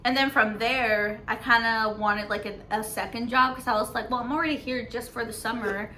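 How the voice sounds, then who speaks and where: A woman's voice: fairly high pitch, fairly even intonation, normal speed, pushed and bright. A young woman from Mexico, a podcast host, telling a long personal story in casual chat, full of fillers and restarts. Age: young adult. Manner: rambling, filler-heavy storytelling.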